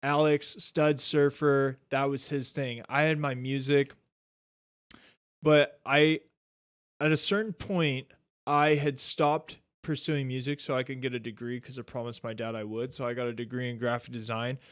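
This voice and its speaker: A relaxed man in his thirties hosts a podcast, rambling easily and leaning on "like" and "dude" between thoughts. His voice is smooth and resonant at a middle pitch. The sound is close and dry, with no room around it. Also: moderate pace, no echo. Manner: laid-back, rambling, says "dude" and "like" a lot. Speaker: podcast host. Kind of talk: casual chat.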